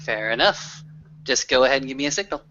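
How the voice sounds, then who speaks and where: Guy doing grand mock-formal rpg storytelling over a muffled voice chat, medium pitch, swears a bit. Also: expressive, fast talker, sharp tone, slight background noise. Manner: theatrical, mock-formal oratory mixed with swearing. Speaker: tabletop roleplay player. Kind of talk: in-character storytelling. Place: online group voice call. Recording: dull lo-fi voice call.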